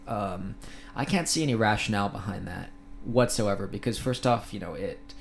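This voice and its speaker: Laid-back young man, lowish voice. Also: vocal fry, a little hiss or hum. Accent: American-sounding. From Philippines and Thailand. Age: 20s. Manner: laid-back, thoughtful, with many pauses and restarts. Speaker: podcast guest. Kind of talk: casual interview conversation. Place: podcast studio.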